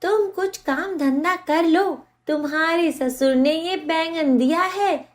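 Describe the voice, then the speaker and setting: A woman voicing a motherly crow mother-in-law in an animated moral story, practical, caring and firmly instructive. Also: extremely high pitch, takes it slowly. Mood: advising.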